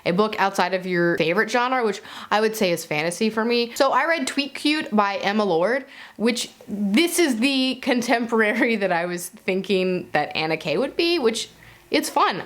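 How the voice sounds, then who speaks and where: A woman's voice: a little high, lively intonation, moderate pace. A woman giving a casual, chatty book review to camera, informal and conversational, with playful asides and self-corrections.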